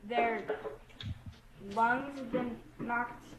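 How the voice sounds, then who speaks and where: A woman's voice: on the high side, steady tone, very slow, a crowd in the background. A female pupil giving a tentative answer in class, thinking aloud with hesitations and lots of filler words. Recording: distant echoey classroom mic, noisy background.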